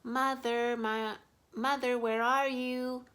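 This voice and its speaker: A woman voicing a small, lonely bear cub in a storybook, gentle and plaintive. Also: a little high, little pitch movement, slow, slight background noise, pitched above the performer's own voice. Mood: lost, plaintive. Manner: plaintive, calling out.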